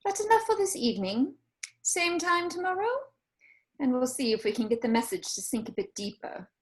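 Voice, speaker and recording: A woman's voice: high voice, big pitch swings, moderate pace. A woman playing a prim, mock-polite, sweetly menacing middle-aged teacher in an amateur script read. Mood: sinister sweetness.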